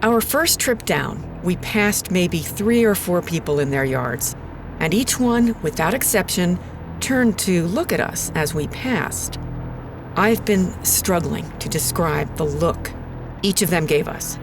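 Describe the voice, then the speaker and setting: Uneasy female radio storyteller, lowish voice, measured scripted narration over clear music. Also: lively intonation, low-quality recording. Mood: foreboding, measured. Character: uneasy female radio presenter recounting an eerie investigation. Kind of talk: scripted first-person narration.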